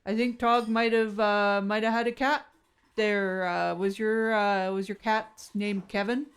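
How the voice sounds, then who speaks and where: A woman's voice: medium pitch, steady tone, unhurried, smooth, close to an everyday voice. A woman voicing an earnest, helpful, rambling roommate with hesitant speech in an improvised comedy audio drama. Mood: curious, awkward. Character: eager, helpful, rambling roommate named Chip Crust.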